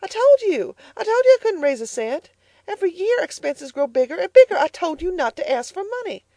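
A woman reading the part of a bitter, stingy farm mother who scolds loudly and sharply. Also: medium pitch, animated, fairly quick, dry recording, natural voice, poor audio. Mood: furious. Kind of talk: audiobook character voice.

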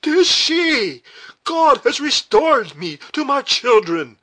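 A man's voice: very high voice, big pitch swings, slow pace, sharp tone, voice pushed up, full character voice. A man voicing an old, fierce and emotional military commander and father in an audiobook, with impassioned delivery. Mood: anguished, urgent. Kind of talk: dramatic audiobook character reading.

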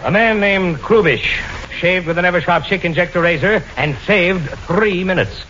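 An American man announces from a script in 1940s radio style. His voice sits high, and the old recording is dull with clear background noise. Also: normal speed, pushed and bright.

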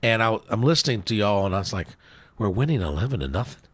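A man's voice: fairly low pitch, expressive, fast talker, breathy edge, no echo. A man co-hosting a sports show in casual, folksy conversation, often trailing off into asides.

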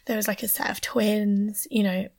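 A woman's voice: mid-range voice, flat delivery, moderate pace, creaky, a little mellow. A woman who has lost her voice and has a sore throat, chatting casually over a video call, often dropping to a near whisper. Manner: chatty, drops to a near whisper at serious points.

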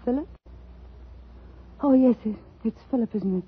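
An actress playing an unstable, haughty woman writer bent on revenge in a 1950s radio thriller, intense and halting, quick to flare. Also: on the high side, slightly rough. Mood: brooding, dreamy. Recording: tinny old radio broadcast, music behind.

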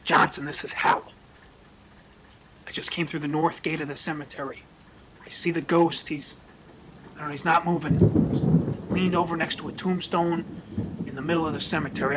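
A man's voice: on the high side, steady tone, normal speed, long pauses, very noisy, natural voice. A man playing an obsessive, deluded police sergeant in a melodramatic audio drama, frantic and rambling as he talks. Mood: tense, determined. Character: unhinged obsessive police sergeant hunting a killer, himself deluded.

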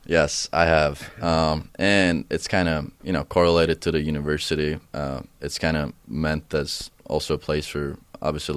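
A young man from Estonia, a soccer player answering interview questions in English, hesitant, with many fillers and restarts. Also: a bass voice. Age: young adult.

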